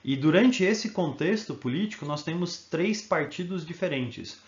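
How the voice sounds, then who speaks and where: A man's voice: on the high side, lively intonation, unhurried, echoey room, muffled recording. A male history teacher giving a recorded video lesson, explaining in an informal way and often checking in with tag questions.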